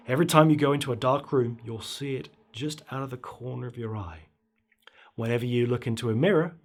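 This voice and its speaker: A man's voice: mid-range voice, slight background noise. A man telling a scripted horror story in a theatrical, suspenseful way. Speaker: horror story narrator and channel host. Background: dark ambient music bed.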